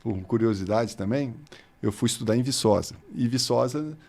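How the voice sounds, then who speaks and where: A man's voice: medium pitch, moderate pace, gravelly, darkish tone. A man in his fifties with a Brazilian Portuguese accent, a guest chatting casually in an interview and often laughing as he tells stories. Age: 50s.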